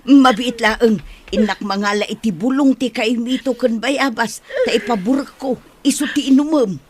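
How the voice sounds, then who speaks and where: A woman's voice: a little high, moderate pace, a little hiss or hum, natural voice. A woman playing an attentive household helper, urging remedies in a radio drama. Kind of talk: Ilocano radio drama acting.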